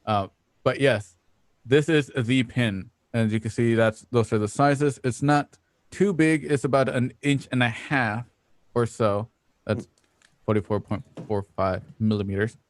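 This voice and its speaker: A man co-hosting a casual show, giving product pitches and scripted sponsor reads. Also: steady tone, unhurried, dry recording. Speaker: co-host and sponsor reader.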